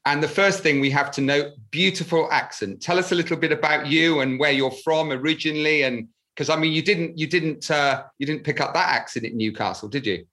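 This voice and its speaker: A man's voice: fairly high pitch, brisk, few pauses, bright, forceful tone. A man hosting a podcast, reading an enthusiastic, polished introduction of his guest. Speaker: podcast host.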